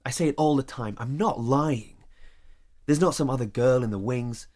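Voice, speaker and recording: An actor playing a career-driven, evasive lover in a naturalistic audio drama, speaking in measured, emphatic phrases. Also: male voice, medium pitch, darkish tone, close to an everyday voice. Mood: earnest, tender.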